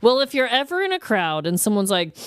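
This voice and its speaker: A woman's voice: medium pitch, big pitch swings, brisk, background noise. A woman co-hosting a podcast in casual comedic banter, playful and sweary with exaggerated reactions. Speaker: podcast co-host.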